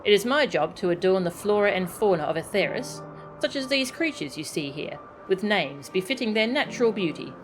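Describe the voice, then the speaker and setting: A woman's voice: medium pitch, lively intonation, brisk, close to an everyday voice. A woman voicing a courteous, florid taxonomist from a video game, proud and formal in her wordy phrasing. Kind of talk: video game dialogue read aloud. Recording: game music behind the voice.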